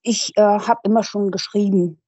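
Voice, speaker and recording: A woman's voice: mid-range voice, fairly even intonation, moderate pace, warm tone, breathy edge, dry recording. A woman in her thirties, an author and teacher, speaking thoughtfully and articulately as a podcast guest, with occasional hesitations. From Germany. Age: 30s. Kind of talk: interview conversation.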